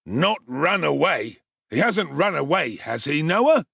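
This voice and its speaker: A man's voice: fairly high pitch, very animated, unhurried, vocal fry, a bit bright, no room sound, voice pushed up, heavily acted. A self-important, middle-aged parish beadle who blusters and fusses, acted by a man. His voice sits somewhat raised. The recording is close and dry. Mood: blustering. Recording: dry close studio sound.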